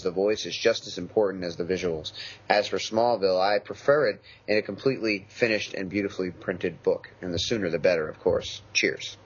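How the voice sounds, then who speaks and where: Low husky man reading listener mail. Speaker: radio show co-host. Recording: dull lo-fi audio.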